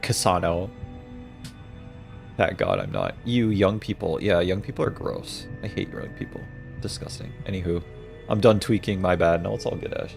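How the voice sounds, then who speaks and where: Joking gravelly-voiced young streamer man. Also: on the low side. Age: 20s. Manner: joking, laughs often, reads chat messages aloud. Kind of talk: casual livestream chat while playing a game.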